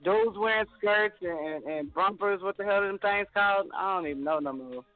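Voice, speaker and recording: A man with a high voice co-hosts a radio show, ranting bluntly and swearing freely. His voice sounds tinny and narrow, like a phone line, and faint music runs underneath. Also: a little fast. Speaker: radio co-host. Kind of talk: casual radio talk.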